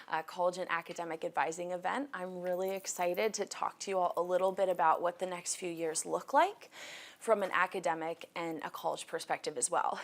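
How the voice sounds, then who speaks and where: A young woman in her twenties, a college counselor, giving a friendly, organized school presentation to students and parents, wearing a mic, with frequent fillers. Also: fairly low pitch. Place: school meeting room.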